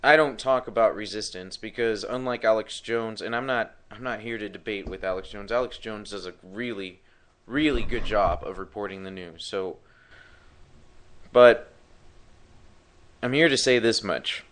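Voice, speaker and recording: A man's voice: fairly low pitch, moderate pace, a bit bright. A man hosting a live talk show who has partly lost his voice, speaking in a rambling way with many fillers and restarts. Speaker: podcast host.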